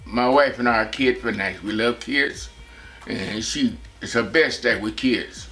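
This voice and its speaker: A man in his seventies from Texas, recalling his family life in a relaxed, rambling way with many pauses. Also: medium pitch. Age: 70s. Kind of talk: personal reminiscence.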